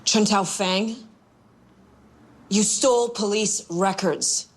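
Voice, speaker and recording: An actress playing a principled, dry-witted police investigator, blunt and sardonic in a screen drama. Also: female voice, medium pitch, takes it slowly. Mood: bitter, accusing. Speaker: actor in a TV supernatural police drama. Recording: music under the dialogue.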